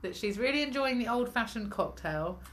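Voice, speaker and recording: A woman's voice: a little high, expressive, moderate pace. A young British woman co-hosting a live sewing stream, cheerfully reading out viewer comments. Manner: bubbly, giggly and relaying comments. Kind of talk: casual live-stream chat. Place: sewing room, indoors.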